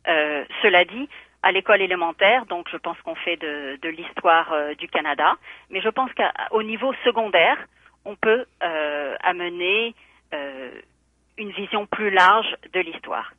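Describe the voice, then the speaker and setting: A woman's voice: on the low side, unhurried, no echo. A woman speaking by phone in a radio interview as a school board candidate, earnest and thoughtful with many hesitant fillers. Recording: tinny phone line.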